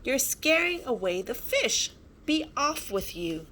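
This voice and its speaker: Woman as a snappish, huge lady dinosaur, raised pitch. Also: big pitch swings, takes it slowly, pushed and bright, slight background noise, full character voice. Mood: irritated. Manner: snapping, dismissive. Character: huge female fish-eating dinosaur, grumpy and snappish. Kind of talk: children's storybook character reading.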